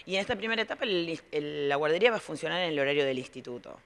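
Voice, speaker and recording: A middle-aged woman with an Argentine accent answering interview questions as a school director, explaining things in a halting way as she rephrases. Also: sits low, lively intonation, a bit bright. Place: large indoor playroom. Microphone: handheld reporter microphone.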